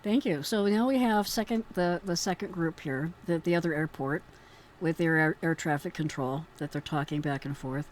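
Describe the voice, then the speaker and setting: Low-pitched woman, conversational commentator. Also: moderate pace, slight background noise. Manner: admiring, conversational, explanatory. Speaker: host, retired emergency dispatcher. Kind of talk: informal commentary on a recorded call.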